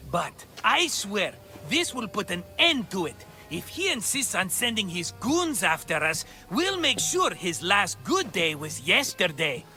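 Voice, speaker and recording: Jolly con man, very bright high voice, theatrical, wheedling, game cutscene. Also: very animated, a little slow, hardly any pauses, faint music behind, heavily acted. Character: scheming, cheerful, cowardly small-time hustler, grown man. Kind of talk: video game cutscene acting.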